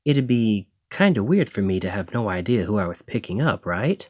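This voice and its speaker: A man's voice: fairly low pitch, big pitch swings, normal speed, a little mellow, slightly rough, no echo. A man playing a chatty, cheeky stand-in carriage driver in an audio roleplay, talking casually and awkwardly to the listener with sly insinuation. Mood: coaxing, sheepish. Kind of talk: ASMR roleplay acting, addressing the listener.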